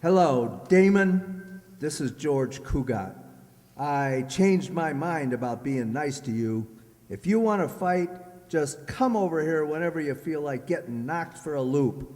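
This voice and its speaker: A man's voice: on the high side, animated, a little slow, a little mellow. A man playing a jealous, blustering husband in an old-time radio sitcom, with a theatrical delivery. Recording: echoey hall.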